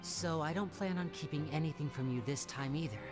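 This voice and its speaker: A suave young male stage magician from a video game, played by a woman, pitched low. He talks smoothly and theatrically, playful yet secretive, over clear background music. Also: fairly quick, close to an everyday voice. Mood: confiding. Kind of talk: video game character acting.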